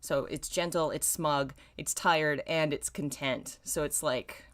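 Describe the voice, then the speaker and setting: A woman's voice: low voice, fairly even intonation, unhurried, a bit bright. A woman in her thirties reading a story aloud like a streamer, with self-mocking, wry asides and theatrical emphasis. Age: 30s. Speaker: streamer reading own old fan fiction.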